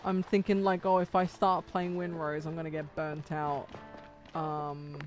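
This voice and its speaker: A woman streamer chatting casually with her viewers while playing a game, chatty and animated. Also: low-pitched, a little slow, soft background music. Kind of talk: casual gaming livestream chat.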